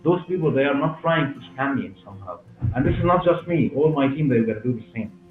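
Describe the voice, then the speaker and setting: Man, forties, Syrian Arabic accent, halting non-native phrasing, interview answers, roomy sound, faint music behind. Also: mid-range voice, animated, narrow, tinny audio. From Damascus, Syria. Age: 40s. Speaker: hair clinic director and interview guest.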